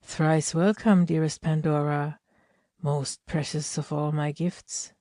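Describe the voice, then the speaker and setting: A woman as a slow, mellow, earnest husband. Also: low-pitched, level tone, dry recording, studio quality. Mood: tender. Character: Epimetheus, tender, solemn, protective husband of myth. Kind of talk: amateur audiobook play reading.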